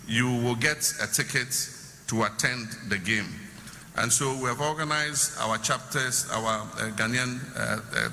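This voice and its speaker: A man, a government official, giving measured, explanatory answers at a public question session. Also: medium pitch, pushed and bright. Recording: noisy hall with long reverb.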